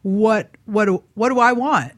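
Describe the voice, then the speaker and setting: A woman co-hosting a talk radio show in casual, reflective conversation, musing aloud in halting questions. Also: medium pitch.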